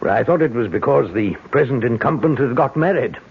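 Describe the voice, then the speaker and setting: Raspy, genteel older British gentleman. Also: medium pitch, lively intonation, brisk. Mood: probing. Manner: hesitant, genteel, probing. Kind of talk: radio mystery drama acting. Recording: tinny, narrow-band old radio broadcast.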